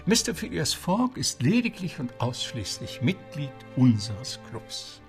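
A genteel English club butler telling a story in a radio play. His gravelly voice moves animatedly over soft music, with courteous asides and theatrical pauses. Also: on the high side, sing-song. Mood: wry, chatty. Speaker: narrator. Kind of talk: radio drama narration.

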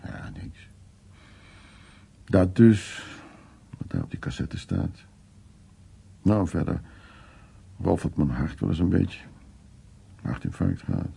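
Husky, gravelly older art restorer. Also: male voice, sits low, very animated, slow, pauses often, warm tone, heavily acted. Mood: brisk, reassuring. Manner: informal, teasing, dismissive of worries. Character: genial, stubborn older male art restorer with heart trouble. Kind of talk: naturalistic radio drama acting.

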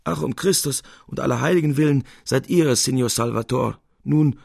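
A man's voice: medium pitch, moderate pace, creaky, darkish tone, husky, dry recording, clean studio sound, pitched above the performer's own voice. A man voicing a fussy, exclaiming old woman, a kindly scolding widowed landlady in a literary story reading. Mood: delighted surprise. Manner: exclaiming, fussing. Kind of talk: literary audiobook character voice.

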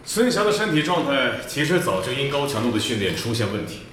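A man's voice: mid-range voice, sing-song, fairly bright tone. A man playing a formal, evasive club manager giving a rehearsed press statement in an audio drama, in a very echoey hall. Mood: grave, diplomatic. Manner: measured, official, rehearsed. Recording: very echoey hall, faint noise, ambience.

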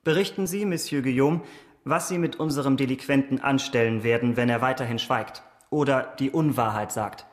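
A man's voice: medium pitch, normal speed, lightly acted. A man playing a dogged, correct police investigator in a radio play, speaking precisely, formally and with cool insistence. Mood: formal, controlled. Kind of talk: German radio play acting.

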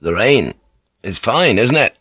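A man voicing an exhausted English sailor turned samurai, speaking slowly and wearily. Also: medium pitch, big pitch swings, a bit bright, slightly rough, no room sound, full character voice. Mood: weary, polite. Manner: slow and weary.

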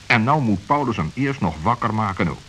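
A man narrating a children's radio play with lively, dramatic storytelling. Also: lowish voice, fairly quick. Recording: hissy old radio recording.

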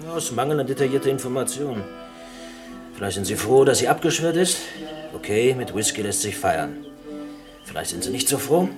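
A man playing a dry, duty-weary but sympathetic barman in a radio drama, laconic and deadpan. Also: mid-range voice, moderate pace, music in the background, natural voice. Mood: wry.

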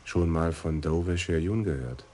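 A man's voice: deep voice, unhurried, dark tone. An actor playing a terse, questioning male police sergeant in a radio crime play. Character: probing male police sergeant, calm investigator.

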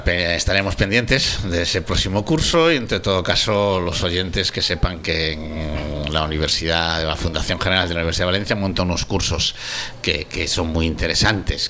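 A man's voice: sits low, normal speed, bright, forceful tone. A man with an accent from Spain, hosting an unscripted radio chat. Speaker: radio show host.

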